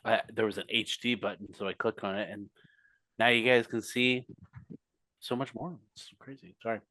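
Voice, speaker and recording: A man's voice: fairly low pitch, fairly even intonation, normal speed, bright, forceful tone, dry recording, rough recording. A man chatting on a podcast over a call, apologetic and self-deprecating. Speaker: podcast co-host. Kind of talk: casual chat. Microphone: remote call mic.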